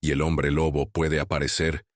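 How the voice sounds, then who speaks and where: A man with an extremely deep, low voice narrates horror tales in a grave, measured way. The recording is dry and close, with no audible room. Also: little pitch movement, dry recording. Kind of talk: scripted horror storytelling.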